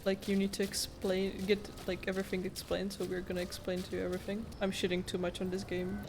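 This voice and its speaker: A woman's voice: mid-range voice, completely flat delivery, quick pace, gravelly, noisy background. A woman giving casual, sarcastic and critical gameplay commentary, with some swearing. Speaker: gamer commenting on a playthrough. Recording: game music behind the voice.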